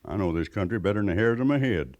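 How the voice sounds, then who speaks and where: A man's voice: on the low side, expressive, fast, a little mellow, no echo, lightly acted. An American actor playing a plainspoken, weathered mountain man scout in a western radio drama, with a folksy rustic drawl. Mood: plainspoken, confident.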